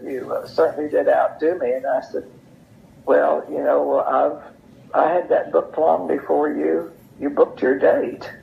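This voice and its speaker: A woman's voice: low voice, creaky. An older woman, telling anecdotes in a casual, chatty way as an interview guest. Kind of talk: casual storytelling. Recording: muffled lo-fi audio with noise.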